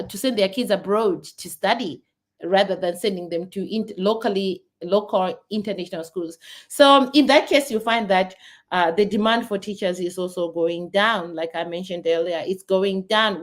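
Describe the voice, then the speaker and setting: Earnest Zimbabwean woman vlogging. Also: medium pitch. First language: not English. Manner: earnest, talks in restarts and repeats. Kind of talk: casual talk to camera.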